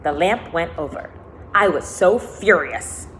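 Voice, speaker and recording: A woman teacher reading a children's novel aloud expressively and pausing to think aloud for her listeners. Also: fairly low pitch, animated, a bit bright, a little hiss or hum. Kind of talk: read-aloud storytelling with commentary.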